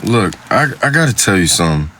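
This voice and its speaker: A man's voice: fairly low pitch, sing-song, fast talker, sharp tone, exaggerated voice. Actor as a weary, guarded young man. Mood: hesitant, confessing. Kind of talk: audio drama dialogue.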